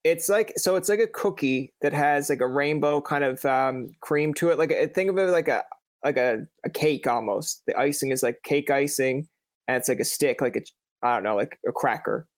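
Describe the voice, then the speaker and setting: A young man with a Newfoundland accent hosts a casual chat, his voice sitting a little high. He rambles through stories with plenty of fillers and the odd swear. The sound is dry and close, with no room around it. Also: moderate pace, no room sound. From Newfoundland, Canada. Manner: chatty storyteller, lots of fillers and swearing. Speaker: interviewer.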